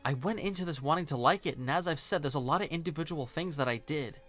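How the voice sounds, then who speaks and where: A man's voice: fairly high pitch, quick pace, fairly bright tone, soft background music. A man giving scripted video-essay commentary in a sarcastic, informal, joking manner. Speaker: video reviewer and host.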